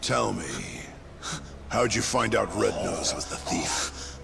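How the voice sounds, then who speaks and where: An actor playing a cruel, mocking yakuza captain in a video game, with a gravelly voice and a sneering, taunting manner. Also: male voice, on the low side, background noise, heavily acted. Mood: cold, mocking. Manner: slow, sneering, taunting drawl. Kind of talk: video game cutscene acting.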